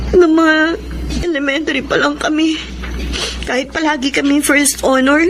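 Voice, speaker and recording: An actress playing a sensitive student daughter in a Tagalog radio drama, speaking with open emotion. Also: female voice, sits high. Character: sensitive student daughter, hurt and yearning for approval. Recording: noisy background under voice.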